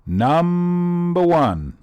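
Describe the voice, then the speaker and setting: A man's voice: high voice, big pitch swings, very slow, darkish tone, clean tone, dry recording, faint music behind. A man hosting a live comedy quiz, rambling and playful with teasing asides to the players. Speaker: quiz host.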